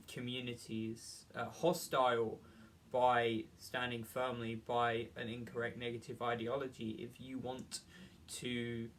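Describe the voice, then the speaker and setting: A man giving an earnest, unscripted opinion monologue in a halting, rambling way, often repeating and rephrasing as he argues. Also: mid-range voice, a bit bright, slight background noise.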